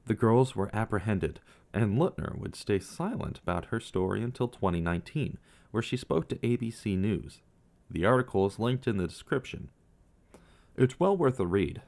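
A man giving scripted true crime narration, measured, with casual asides to the viewer. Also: fairly low pitch, expressive, vocal fry, a little mellow. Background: faint guitar music.

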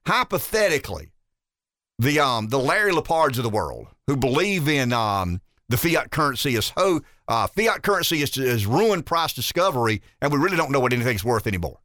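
A man's voice: mid-range voice, moderate pace, dry recording, studio quality. A man in his fifties hosting a talk radio show, musing aloud and asking rhetorical questions. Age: 50s. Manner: animated, rambling, rhetorical questions.

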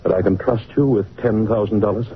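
Low-voiced, anxious psychoanalyst in radio drama. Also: male voice, fairly even intonation, brisk, slightly rough. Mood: nervous, polite. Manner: hesitant, nervous, formal, repeats words. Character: anxious, courteous male psychoanalyst in trouble. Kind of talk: old-time radio detective drama acting. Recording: phone-like old radio recording, faint noise.

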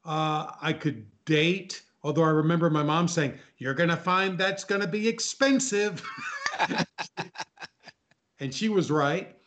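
A man's voice: on the high side, unhurried, a bit bright. A man in his sixties reminiscing casually as a talk show guest, often repeating phrases for emphasis. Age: 60s.

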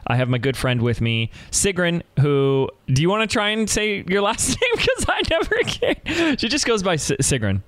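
A man's voice: high voice, wildly swooping pitch, normal speed, few pauses, a bit bright, no echo. A man hosting an interview podcast. He introduces his guest and asks questions in an enthusiastic, effusive way, often restarting his sentences. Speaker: podcast host.

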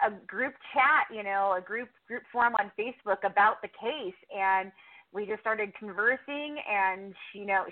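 A woman guest on a radio interview, telling a story in casual, conversational answers. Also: medium pitch. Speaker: podcast host, interview guest. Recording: tinny, narrow phone line.

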